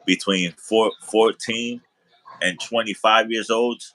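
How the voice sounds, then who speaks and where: Laid-back man with a bright voice. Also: on the low side, steady tone, slow, a little hiss or hum. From the Bronx, New York. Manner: laid-back, repeats and restarts phrases, laughs easily. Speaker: host. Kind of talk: casual chat. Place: living room at home, on a sofa.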